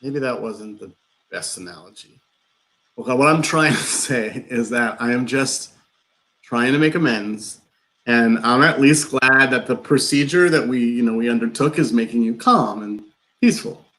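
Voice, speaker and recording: A man's voice: mid-range voice, sharp tone, natural voice, poor audio. Man acting an awkward, rambling, nervous guy. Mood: sheepish, earnest. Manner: halting, nervous, over-explaining. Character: awkward, rambling man confessing a long-held crush. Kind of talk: live play reading, naturalistic dialogue.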